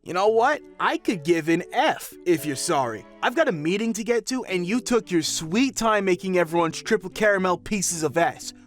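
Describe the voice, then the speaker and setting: A man's voice: sits high, animated, normal speed, few pauses, faint music behind, voice pushed up. A man voicing an impatient, furious businessman who rants and insults people. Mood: ranting. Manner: ranting, insulting. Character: impatient, furious businessman, red-faced and rude.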